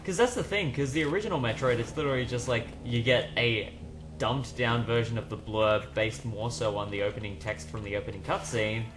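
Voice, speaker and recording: A man chatting casually in a let's play, telling stories in an animated, rambling way. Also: medium pitch, fairly quick, poor audio. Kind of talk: casual gaming commentary chat. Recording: game music behind the voice. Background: video game music.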